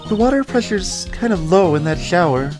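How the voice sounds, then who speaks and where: A woman voicing a naive, eager teenage girl spirit medium in a video game, earnest and excitable. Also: on the low side, moderate pace, over music, close to an everyday voice.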